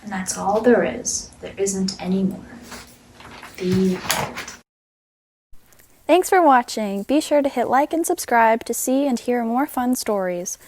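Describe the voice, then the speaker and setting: A woman's voice: on the high side, lively intonation, unhurried, long pauses, a little mellow, reverberant, distant mic, slight background noise. A woman storyteller hosting a read-aloud show, reading a picture book expressively for children.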